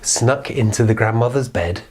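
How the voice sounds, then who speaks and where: A man's voice: medium pitch, moderate pace, darkish tone, rough recording. A man telling a children's story in an informal, improvised way, chatty and playful, with repeated phrases.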